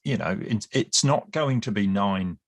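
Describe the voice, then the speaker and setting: A man with a fairly low voice lays out his points at length in a relaxed podcast discussion. He restarts his phrases often and slips in dry humour. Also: measured pace. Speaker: guest expert on Cold War British Army.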